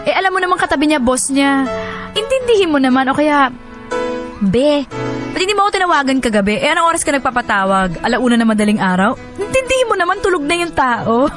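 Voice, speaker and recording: A woman radio host with an expressive delivery, presenting relationship advice and letters on air. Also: high voice, fast, over music.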